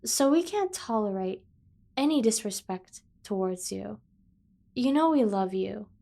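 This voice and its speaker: A woman's voice: mid-range voice, lively intonation, unhurried, gravelly, warm tone. A woman voicing an obsessive, protective yandere sibling in an ASMR roleplay, speaking with earnest, over-the-top devotion. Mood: doting, earnest. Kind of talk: scripted ASMR roleplay addressed to the listener.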